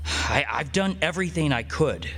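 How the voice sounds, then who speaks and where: An actor playing a tired, patient defense lawyer in a stage radio play, speaking calmly and reassuringly with a Midwestern American accent. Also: male voice, on the high side, normal speed, gravelly, breathy edge, long reverb tail, exaggerated voice. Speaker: lawyer Delbert.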